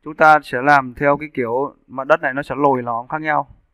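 A man narrating a casual software tutorial as an instructor, explaining step by step and checking in with his listeners. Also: mid-range voice, fairly even intonation, a little fast, clear, resonant tone, no echo. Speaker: 3D animation instructor. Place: indoors, screen-recording voice-over.

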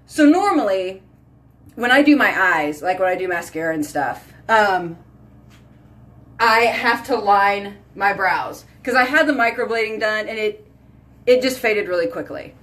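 Brief: thirties woman, casual home beauty vlog, chatty and animated, phone mic at arm's length. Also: medium pitch, unhurried. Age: 30s. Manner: chatty, animated, lots of "like" and "y'all". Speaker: beauty vlogger. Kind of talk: casual chat to camera. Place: small bedroom or bathroom at home. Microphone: phone camera at arm's length.

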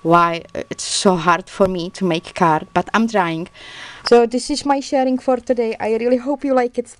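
A woman from the Czech Republic with a Czech accent, chatting casually and a little haltingly in learner's English while showing her handmade journals, laughing often and poking fun at herself. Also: on the high side, fairly even intonation, dry recording. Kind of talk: casual crafting video chat. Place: indoors at a craft table, filmed from above.

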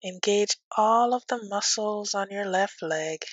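A woman teaching yoga nidra as a guided meditation, speaking gently and soothingly with long pauses. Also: medium pitch, dry recording, low-quality recording.

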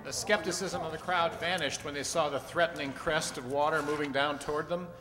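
A man's voice: a little high, fairly even intonation, brisk, loud music behind the voice. An older man reading scripted narration for an old-time radio drama on a stage. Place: stage in a hall. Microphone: stand microphone. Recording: echoey hall, long reverb.

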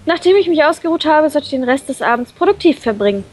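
A woman's voice: sits high, lively intonation, quick pace, a little mellow. A playful woman reading a visual novel expressively for a let's play, with casual banter in between. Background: background game music.

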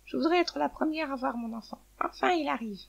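A woman's voice: sits high, fairly quick, vocal fry, dull audio, pitched above the performer's own voice. A woman voicing a feisty, proud mother hen in a children's story, blunt and exclamatory. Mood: grateful, softened. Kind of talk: children's storybook character reading.

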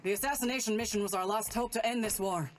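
An actress playing a calm, resolute woman super-soldier officer in a game, terse, grave and commanding. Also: mid-range voice, fast, a little hiss or hum, lightly acted. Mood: somber, resolute. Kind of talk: military game audio log acting.

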